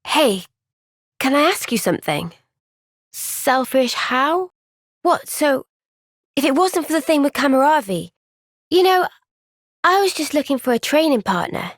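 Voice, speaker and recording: An actress voicing a young woman soldier in a game cutscene, soft-spoken and caring. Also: high-pitched, sing-song, a little slow, pauses often, no room sound, studio quality.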